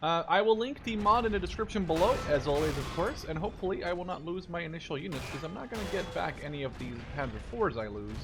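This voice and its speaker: Higher-voiced young man doing game commentary. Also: expressive, quick pace, hardly any pauses, over music. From Netherlands. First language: Dutch. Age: 20s. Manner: rambling, digressive, informal with mild swearing. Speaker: gaming video creator. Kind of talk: casual gameplay commentary.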